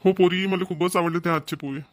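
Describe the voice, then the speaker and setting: A man's voice: high-pitched, steady tone, a little fast, natural voice. A man playing a doting, proud middle-aged village father in a cartoon, with a rural Maharashtrian Marathi accent and earnest, homely manner. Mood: impressed. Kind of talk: Marathi cartoon family comedy voice-over.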